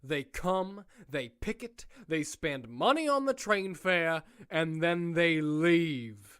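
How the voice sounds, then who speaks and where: A smug, menacing prison warden. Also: male voice, fairly high pitch, lively intonation, a bit bright. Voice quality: smooth, condescending, slow and deliberate. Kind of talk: audio drama acting.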